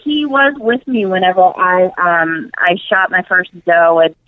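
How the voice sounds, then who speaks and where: A woman's voice: on the low side, lively intonation, measured pace, no echo. Woman guest on a muffled call. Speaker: interview guest, nutrition coach. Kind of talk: casual radio interview chat.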